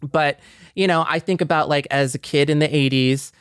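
A man's voice: on the high side, moderate pace, clean tone, no room sound, studio quality. A man in his forties co-hosting a podcast, introducing and talking reflectively. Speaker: podcast co-host.

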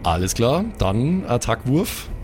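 A man's voice: medium pitch, big pitch swings, slow pace, a little mellow, soft background music. A man improvising colloquial, dramatic narration as game master of a pen and paper audio play.

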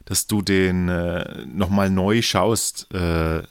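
A man's voice: deep voice, fairly even intonation, slow pace, smooth, no echo, studio quality. A man with a Franconian accent co-hosting a beer podcast in casual chat, rambling and correcting himself often, laughing easily. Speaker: podcast co-host.

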